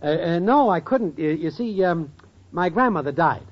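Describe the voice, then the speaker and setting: A man's voice: fairly high pitch, animated, normal speed, lightly acted. An actor playing a brash, lazy, wisecracking unemployed boyfriend in an old radio sitcom, full of slangy wise-guy talk. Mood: falsely chummy. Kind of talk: 1940s-50s radio sitcom acting. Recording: dull, noisy old radio recording.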